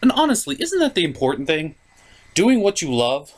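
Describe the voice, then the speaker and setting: A man hosts a comedic review in scripted narration. His voice runs a little high and is very lively, rising and falling widely. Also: moderate pace. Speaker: host and reviewer.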